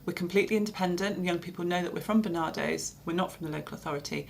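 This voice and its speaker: English woman explaining a service, lowish gravelly voice, faint hiss behind. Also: very fast. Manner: steady, informative, with fillers. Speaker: advocacy service worker.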